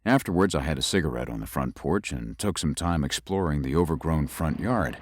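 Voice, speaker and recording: Casting: man playing a young lawyer narrating a ghost story, deep but lively voice, dry close sound. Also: no room sound. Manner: measured, tells the story with rising unease. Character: young male lawyer recuperating in the country. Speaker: narrator and lead.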